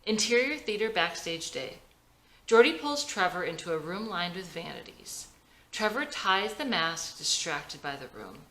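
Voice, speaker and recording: A woman's voice: fairly low pitch, sing-song, a little slow, pushed and bright. A woman reading scene directions from a screenplay as scripted narration in a dramatic table read. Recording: roomy echo.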